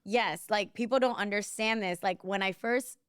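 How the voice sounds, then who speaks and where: A woman's voice: mid-range voice, clean tone, no room sound. Young miami woman cohosting, medium voice, dead dry sound, lots of likes. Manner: chatty, frequent "like" fillers, quick laughs. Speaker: podcast co-host. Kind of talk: casual podcast chat.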